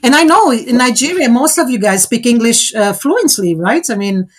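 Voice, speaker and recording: A woman's voice: on the high side, animated, normal speed. A woman whose first language is Portuguese, hosting a live online exercise, encouraging participants and checking in often with "okay?" and "all right?". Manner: encouraging, coaching, frequent check-ins like "okay?". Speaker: host running a fluency exercise.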